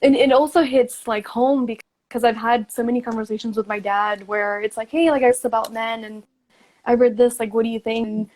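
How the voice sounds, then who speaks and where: A woman's voice: a little high, fairly even intonation, brisk, darkish tone, low-quality recording. A woman hosting a podcast, talking casually and reflectively in a supportive, informal way peppered with "like". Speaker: podcast host.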